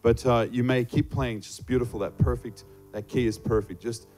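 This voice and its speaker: A man's voice: mid-range voice, steady tone, moderate pace. A man with an Australian accent, a native English speaker, preaching informally as a guest in short phrases at a church service. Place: church service over a microphone. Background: soft worship music.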